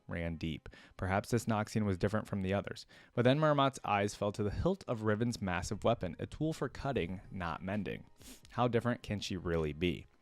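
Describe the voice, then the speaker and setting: A man's voice: fairly low pitch, no echo. A man reading a fantasy story aloud and breaking off for casual, informal commentary. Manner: informal, tag questions like "right?". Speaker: reaction video host.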